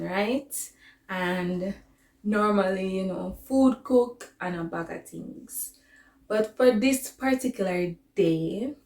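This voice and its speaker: A young woman with a Caribbean accent chats casually to her viewers as a vlogger, telling a story in an informal, digressive way. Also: mid-range voice. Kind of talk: casual storytime chat to camera. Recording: echoey, roomy sound.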